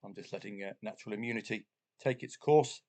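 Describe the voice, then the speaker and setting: A middle-aged man who has the flu talks through his betting results in a relaxed, casual way. His voice is deep and husky from the illness. He fills pauses with "uh" and drops in jokey asides. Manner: chatty, jokey asides, frequent "uh" fillers. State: has the flu, chesty cough, voice deeper than usual. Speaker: betting channel host. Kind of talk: casual talk to camera about betting.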